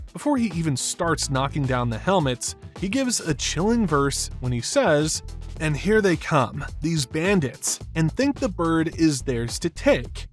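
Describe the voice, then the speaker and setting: A man narrating a scripted film analysis in an emphatic, opinionated manner. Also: fairly high pitch. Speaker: video essay narrator.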